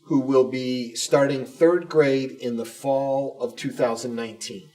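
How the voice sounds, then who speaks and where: A man's voice: medium pitch, fairly even intonation, a little slow, warm tone, recorded from a distance, rough recording. A man co-hosting a conversational interview show and putting questions to guests. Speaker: podcast co-host, school communications director.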